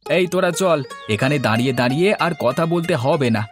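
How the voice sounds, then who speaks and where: A man's voice: a little high, expressive, quick pace, lightly acted. A man playing a genial-seeming but sly village uncle in a fairy-tale cartoon, with music behind. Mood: welcoming.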